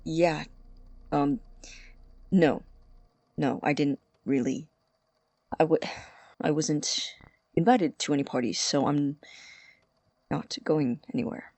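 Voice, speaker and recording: An actor playing an awkward, anxious young male college student in an audio drama, halting and rambling, with a creaky voice. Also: mid-range voice, darkish tone, no echo. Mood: defensive, awkward. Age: young adult. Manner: halting, stammering, rambling, nervous laughs. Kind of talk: audio drama acting as a personal voice recording.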